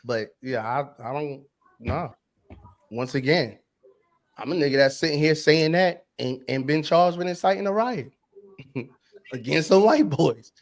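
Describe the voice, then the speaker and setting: A man's voice: a little high, lively intonation, a little slow, long pauses. A man from New York, a podcast co-host, talking bluntly in casual debate, full of slang and repeating himself.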